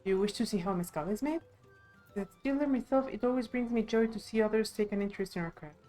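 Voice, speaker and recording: A woman's voice: mid-range voice, quick pace, warm tone, faint music behind. A woman reading video game dialogue aloud expressively on a live stream.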